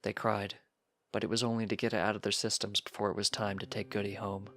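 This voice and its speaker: A man's voice: on the low side, flat delivery, fast talker, fairly bright tone. A man reading fan fiction aloud as scripted story narration. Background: background music.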